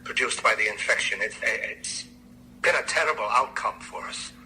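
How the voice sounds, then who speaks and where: An actor playing a grave, dejected medical researcher in a radio drama, halting and formal in his phrasing. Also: medium pitch, bright, forceful tone, slight background noise, low-quality recording. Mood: dejected, apologetic.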